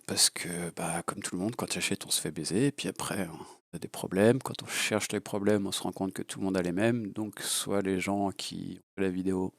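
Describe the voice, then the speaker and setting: Gravelly low-voiced man with a cold. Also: fairly even intonation, normal speed, no room sound, clean studio sound. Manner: blunt and crude, rambling with many fillers. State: has a cold. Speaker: FPV drone hobbyist reviewer. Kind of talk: casual unscripted product review. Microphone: low-level home mic.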